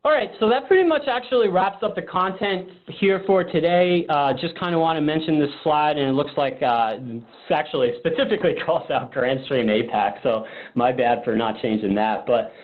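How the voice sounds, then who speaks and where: A man's voice: fairly high pitch, moderate pace, hardly any pauses. A man presenting a product webinar casually, informal and thinking aloud in a hesitant way. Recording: phone-like narrow-band webinar audio.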